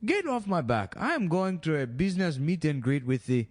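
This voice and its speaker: Guy doing a nigerian businessman bit, mock accent, kinda high voice, super earnest sales pitch. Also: very animated, normal speed. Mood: earnest, salesmanlike. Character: earnest male Nigerian entrepreneur pitching absurd products. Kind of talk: improvised comedy impression.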